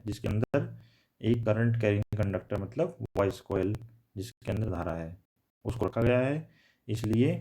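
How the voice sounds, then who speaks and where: A man's voice: lowish voice, gravelly, mellow. A man teaching a technical lesson, repeating and rephrasing his points and mixing in English terms. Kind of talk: explanatory technical lecture.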